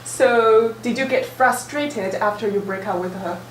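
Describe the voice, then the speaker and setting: Casting: higher-voiced woman host, teasing and curious, echoey room, mic distant. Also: measured pace, a little hiss or hum. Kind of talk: casual discussion.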